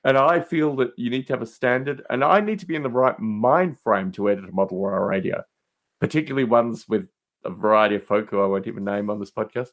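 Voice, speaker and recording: A man's voice: mid-range voice, fast talker. A man with a mid-range voice chats as a guest on a podcast. He talks in a loose, digressive way, stressing his points emphatically and slipping into mild exasperation. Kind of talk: casual, rambling podcast banter.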